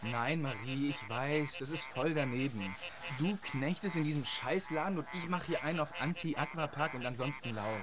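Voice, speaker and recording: A man's voice: on the high side, normal speed, crowd noise, natural voice. An actor playing a young man lost in the marshes who talks on the phone in agitated slang, in a radio drama.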